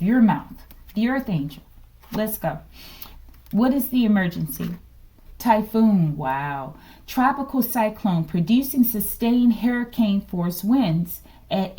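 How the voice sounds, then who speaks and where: A woman giving an improvised, informal tarot reading to an online audience, asking rhetorical questions, tagging her phrases with "okay" and dropping into hushed asides. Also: mid-range voice. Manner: repeats rhetorical questions and verbal tags like "okay". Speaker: tarot reader, host of the reading.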